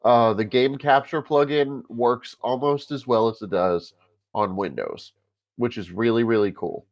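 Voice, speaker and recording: A man with a stuffy, congested voice, co-hosting a casual tech podcast over a remote call and pausing often with filler sounds. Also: mid-range voice, muffled recording. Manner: halting, many filler sounds. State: congested from a cold. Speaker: podcast co-host.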